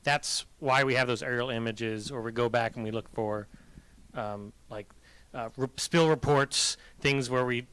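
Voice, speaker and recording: A man's voice: mid-range voice, fairly bright tone, clear, resonant tone, dry recording, poor audio. A man presenting at a public meeting, explaining how sites get investigated, with frequent restarts. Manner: halting, restarts while explaining. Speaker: engineer presenter.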